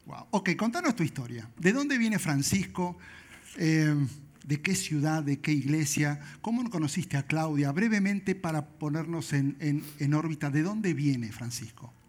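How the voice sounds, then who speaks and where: A man with a Rioplatense accent, a pastor interviewing a guest in church, asking questions with slow drawn-out phrasing. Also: a little high, animated.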